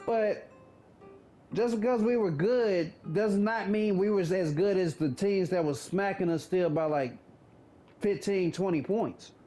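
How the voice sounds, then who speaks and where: A man's voice: high voice, unhurried, dark tone, faint music behind. A thirty-year-old man giving laid-back, blunt gaming commentary, swearing casually.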